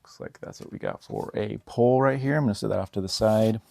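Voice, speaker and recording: Male reviewer, lowish creaky voice, informal unboxing delivery, talks through things hands-on. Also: fast talker, mellow, slight background noise. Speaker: product reviewer.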